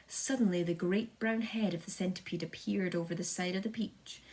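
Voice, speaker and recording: A woman's voice: lowish voice, steady tone, normal speed, a little mellow, low-quality recording. A woman reading a children's story aloud like a teacher, dramatically, with the occasional stumble over a word.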